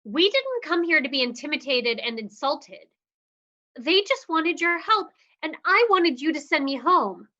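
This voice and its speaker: A woman's voice: high voice, very animated, measured pace, pushed and bright, full character voice. A woman voicing Dottie, an earnest and determined young woman, in a livestreamed script reading. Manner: earnest, firm. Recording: dull lo-fi sound.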